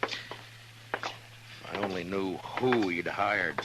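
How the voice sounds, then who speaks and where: An actor playing a stern, fed-up marshal in a 1950s radio western, blunt and challenging. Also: male voice, low-pitched, extremely slow, very noisy. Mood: grim, frustrated. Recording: vintage radio drama with loud music.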